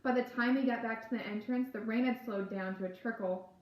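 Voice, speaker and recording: High-voiced woman reading aloud, echoey room. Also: steady tone, a little fast, a little mellow. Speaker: book reader. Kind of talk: reading a novel aloud, first-person narration.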